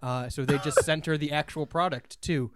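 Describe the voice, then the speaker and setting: A man's voice: on the high side, fairly even intonation, normal speed, a crowd in the background. A young man co-hosting a casual podcast, telling a story with uneven pace and dry humor. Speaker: podcast co-host. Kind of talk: casual chat.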